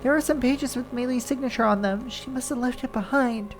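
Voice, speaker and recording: A woman's voice: high voice, fairly quick, rough recording. Nasal, congested woman streamer. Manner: reads game lines plainly in first person, breaking off to chat. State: congested from a winter cold. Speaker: game streamer. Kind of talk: casual chat with chat, reading game text aloud. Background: faint game music.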